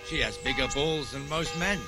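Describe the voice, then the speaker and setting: An actor playing a gruff, wry veteran medieval watchman in a video game, plain-spoken and instructive, with music behind him. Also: a little high, moderate pace. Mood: wry, instructing. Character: gruff, wry veteran town watchman showing a recruit around.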